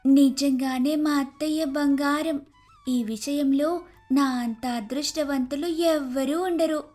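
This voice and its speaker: A woman's voice: sits high, fairly even intonation, slow pace, darkish tone, soft background music. A woman voicing an earnest, respectful young daughter-in-law in an acted moral story. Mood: grateful.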